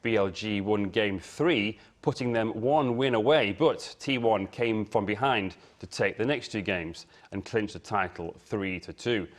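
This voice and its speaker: A man's voice: fairly low pitch, unhurried, hardly any pauses. A man working as a sports editor, delivering a broadcast sports report in a studio. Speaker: sports editor presenting sports news. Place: TV news studio.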